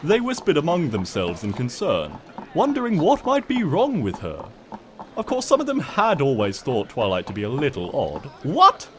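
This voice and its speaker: A man's voice: mid-range voice, huge pitch swings, normal speed, slight background noise. A man narrating a comic story in a theatrical, smug and wry manner.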